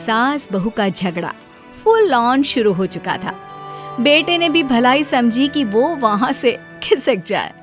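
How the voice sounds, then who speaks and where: A woman's voice: fairly high pitch, animated, takes it slowly, soft background music. A woman telling a story as narrator, in a chatty, scripted style.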